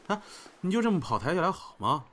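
A man playing a defensive elder-brother figure in an audio drama, brisk and reproachful. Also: high voice, big pitch swings, a bit bright, heavily acted. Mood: defensive, annoyed. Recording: faint background noise.

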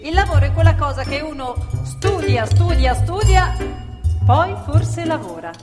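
A woman's voice: high voice, lively intonation, a little slow. An actress playing an earnest working-class woman, reciting and telling fables theatrically. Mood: earnest, declaiming. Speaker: stage actor and narrator. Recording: live hall with long reverb, music. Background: prominent live music.

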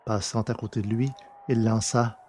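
A man with a slightly husky voice reading scripted fiction as an audiobook narrator. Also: on the low side, level tone, normal speed, darkish tone, slight background noise.

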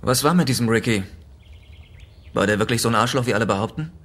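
An actor playing a blunt, probing police investigator in a radio drama. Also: male voice, medium pitch, fast talker, a bit bright, lightly acted.

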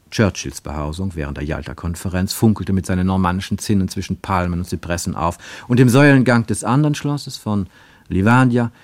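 A man narrating a literary radio play with expressive, deliberate phrasing. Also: low-pitched, no echo.

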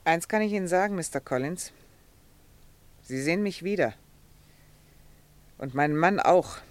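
Actress as desperate, determined pleading wife. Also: female voice, fairly low pitch, animated, normal speed, natural voice. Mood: bitter, determined. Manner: earnest, insistent, pleading. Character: Mrs Parsons, desperate, determined wife of an accused man. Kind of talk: German radio drama acting.

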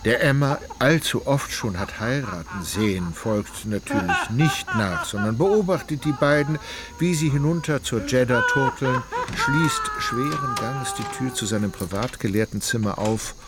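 A man's voice: medium pitch, huge pitch swings, a little slow, a loud crowd. A man narrating a literary radio play with dramatic, ornate storytelling. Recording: loud music behind voice.

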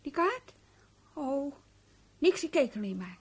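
A woman's voice: high-pitched, very animated, slow pace, full character voice. An actress playing a hopeful, nagging wife in a radio play, questioning insistently and stumbling over her words. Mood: needling. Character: hopeful, nagging working-class wife who believes in luck. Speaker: radio drama actor. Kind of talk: Dutch radio play dialogue.

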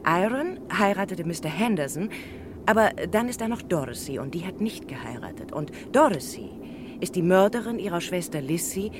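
An actress playing a chatty middle-aged former housemaid in a 1960s radio crime play. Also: female voice, expressive, moderate pace, lightly acted. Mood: gossipy. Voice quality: talkative, gossipy manner. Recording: noisy old radio recording, train rumble.

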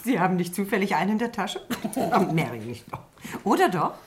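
A woman's voice: medium pitch, sing-song, brisk, slight background noise, lightly acted. An actress in a radio play voicing a celebrated woman author who gushes and teases in an affected, mocking way. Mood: amused, mocking. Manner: effusive, teasing, affected. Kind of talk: radio play acting in German.

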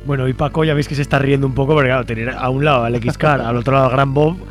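A man with a Spanish accent from Spain hosts casually at a brisk pace. His pitch is medium and his manner is wry and informal, over faint background music. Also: steady tone. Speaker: radio host. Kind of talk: casual radio hosting.

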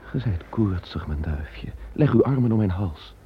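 A man's voice: lowish voice, expressive, unhurried. A man playing a commanding yet tender king who soothes his frightened beloved, in an old radio drama. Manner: soothing, solemn, archaic speech. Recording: muffled old radio recording, faint hiss.

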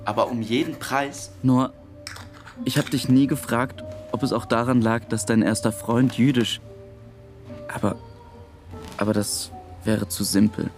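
An actor playing a grieving, guilt-ridden brother of a dead spy in a radio play, speaking in halting, broken sentences. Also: male voice, mid-range voice, steady tone, soft background music, natural voice. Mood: searching.

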